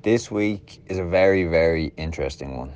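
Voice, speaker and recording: A man's voice: low-pitched, moderate pace, a little mellow, lo-fi sound. A man hosting a podcast, reading an introduction and then asking casual questions. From Ireland. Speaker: podcast host.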